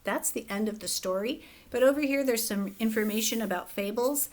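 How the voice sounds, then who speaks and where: A woman teacher reading a children's fable aloud in a gentle, expressive storybook manner. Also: fairly high pitch, brisk. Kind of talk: children's read-aloud narration.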